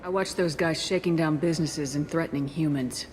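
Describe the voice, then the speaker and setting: Low-voiced, tough woman commander, played by an actress. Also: fairly even intonation, a little fast, lightly acted. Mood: firm, pragmatic. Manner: terse, direct, composed. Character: tough, level-headed female military space commander. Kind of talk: dramatic video game dialogue. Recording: constant loud ambient noise behind.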